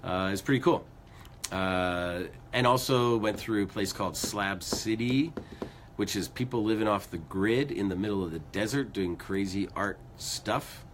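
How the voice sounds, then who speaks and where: A man co-hosting a music show in casual, rambling chat, a bit hoarse from losing his voice. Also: lowish voice, moderate pace, a little hiss or hum. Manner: rambling, upbeat, lots of fillers. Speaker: co-host of a music news show.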